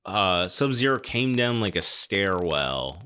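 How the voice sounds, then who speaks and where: A man hosting a podcast, discussing film casually in a halting, deliberate way with dry understatement. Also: low-pitched, very animated, sharp tone, slight background noise. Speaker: podcast host.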